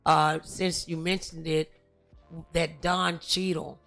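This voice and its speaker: Slow, gravelly, bright-voiced woman. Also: low-pitched, little pitch movement, faint music behind. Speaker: talk show host, relative of the guest. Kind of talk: casual interview chat, storytelling.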